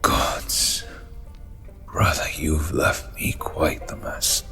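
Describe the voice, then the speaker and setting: A man's voice: very slow, in a whisper, soft background music. An actor playing an embittered, hot-tempered human king in a fantasy audio drama, brooding and commanding. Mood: bitter, brooding. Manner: commanding, brooding, erupting into shouts. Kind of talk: full-cast fantasy audio drama acting.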